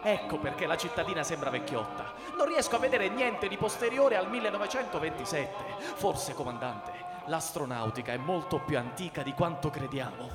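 A man playing an excitable, earnest space explorer who theorises eagerly in a radio drama. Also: a little high, normal speed, hardly any pauses. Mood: eager, persuasive. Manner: earnest, emotional, persuasive. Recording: echoey hall, loud music behind.

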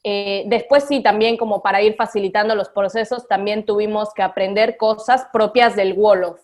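A woman's voice: medium pitch, steady tone, normal speed. A woman teacher, reflecting aloud in a radio interview with frequent fillers. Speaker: literacy teacher.

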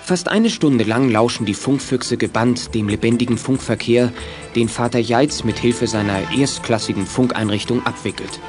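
A male narrator with a medium-pitched voice reads a scripted children's radio play. His phrasing is clear and storylike, with faint music behind him. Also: moderate pace.

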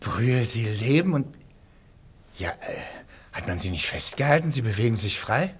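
An actor playing a serious, sceptical gentleman in a 1950s German radio drama, formal and questioning. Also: mid-range voice, sing-song, normal speed, husky. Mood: anxious, disbelieving. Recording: noisy 1950s radio recording.

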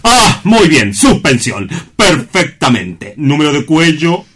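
A man acting a matter-of-fact attendant in a radio drama, officious and deadpan like a cold official. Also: on the high side, takes it slowly, husky, heavily acted. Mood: businesslike. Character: brisk, matter-of-fact male attendant with a salesman's coldness.

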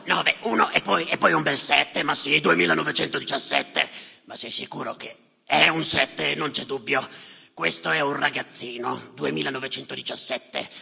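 Man acting a gravelly, scornful, muttering clerk. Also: sharp tone, heavily acted. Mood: fussy, self-satisfied. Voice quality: gravelly, raspy. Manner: muttering, scornful, erratic swings from low grumbles to outbursts. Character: bitter, callous, muttering male clerk tallying the drowned by number. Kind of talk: theatrical monologue. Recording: long hall reverb.

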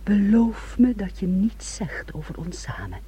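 An actress in a radio play playing a desperate, possessive young woman who speaks in an intense, halting, intimate way. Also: medium pitch, very animated, dark tone, breathy edge, heavily acted. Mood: hushed secrecy.

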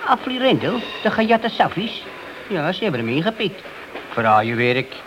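An actor playing a small-time crook and informer in a Dutch radio play, wheedling, slangy and obsequious. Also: male voice, high voice, big pitch swings, moderate pace. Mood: sly. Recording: noisy old radio drama recording.

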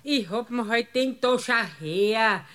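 A high female voice acted by a woman as an earthy Bavarian countrywoman in a radio comedy. She is brazen and talkative, telling things in a folksy, blunt, aggrieved way. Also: unhurried, heavy background noise, lightly acted. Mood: innocently brazen. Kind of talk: comic radio drama acting.

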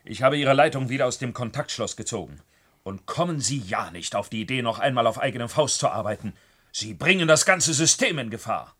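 Casting: officious control engineer, scolding and bossy, animated mid-pitched delivery, sci-fi radio play. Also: male voice, a little fast, breathy edge. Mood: stern, commanding. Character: stern male control engineer scolding subordinates in dystopia. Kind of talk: science fiction radio drama acting.

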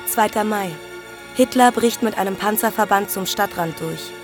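A woman playing a matter-of-fact researcher in a radio play, reciting in a deadpan, declarative way. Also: medium pitch, moderate pace, natural voice. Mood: deadpan listing. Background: music.

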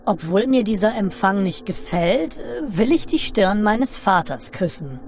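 A woman voicing a genial, drily ironic Martian son from Utopia in a satirical radio play, speaking deliberately and theatrically. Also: mid-range voice, big pitch swings, darkish tone, a little hiss or hum. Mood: hopeful, eager. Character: genial, wry, bored Martian alien, prodigal son from Utopia.

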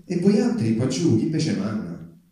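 A man's voice: medium pitch, wildly swooping pitch, normal speed, dark tone, pitched above the performer's own voice. A man voicing wheedling, polite seafaring explorers in comic verse, with a Roman Italian accent. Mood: curious. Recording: echoey hall, recorded from far off.

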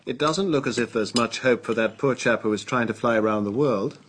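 A man acting as an urbane English gentleman in a radio play. He has a medium-pitched voice and an easy, sociable, conversational manner. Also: brisk, slight background noise, natural voice. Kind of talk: radio mystery drama.

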